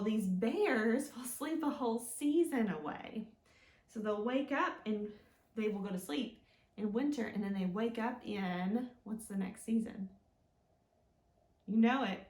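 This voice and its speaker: Slow-paced woman leading children's storytime. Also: medium pitch, a little mellow. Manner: sing-song, prompting with simple questions and encouragement. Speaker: children's librarian hosting storytime. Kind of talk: read-aloud and teaching for young children. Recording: echoey room, mic at a distance.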